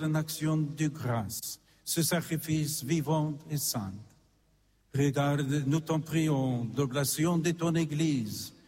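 A man, a priest, slowly and solemnly intoning a Eucharistic prayer at Mass. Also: a little high, darkish tone. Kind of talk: liturgical prayer. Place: church. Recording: long church reverb.

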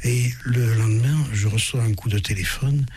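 A man's voice: medium pitch, normal speed, darkish tone, slight background noise. Hesitant older man, German first language. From southwest France. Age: 70s. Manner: hesitant, many restarts and repeated words. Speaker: guest philosopher. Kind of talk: radio interview.

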